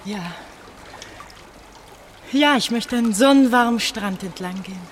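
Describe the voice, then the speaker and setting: A woman's voice: a little high, a little slow, slight background noise, close to an everyday voice. An actress in a German radio play voicing a desperate, exhausted young woman, emotional and reproachful in manner. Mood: exhausted, despairing. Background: running, dripping water.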